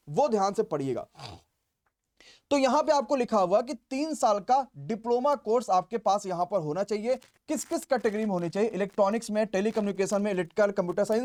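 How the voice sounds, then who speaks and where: A man's voice: sits high, a little mellow. A man explaining informally as a coaching teacher, repeating key points for emphasis. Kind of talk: informal exam vacancy briefing.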